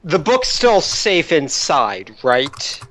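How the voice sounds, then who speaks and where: A man's voice: on the high side, very animated, takes it slowly, fairly bright tone, a little hiss or hum. A man chatting casually as a player in a tabletop role-playing game. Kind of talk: casual chat.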